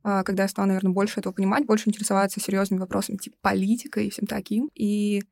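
A woman's voice: mid-range voice, level tone, very fast, gravelly, darkish tone, dry recording, studio quality. A young woman co-hosting a podcast, explaining her views articulately in a casual discussion. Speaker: podcast co-host.

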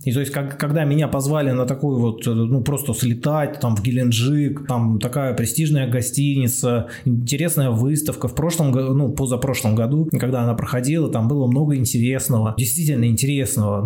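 A man's voice: medium pitch, mellow. A man hosting a casual, improvised podcast in a rambling, blunt way, repeating words for emphasis. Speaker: podcast host, doctor.

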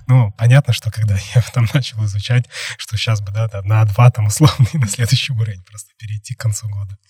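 A man's voice: lowish voice, steady tone, fast, darkish tone, slightly rough, dry recording. A young man hosting a casual podcast, talking in a rambling way full of fillers and hedges. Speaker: podcast host.

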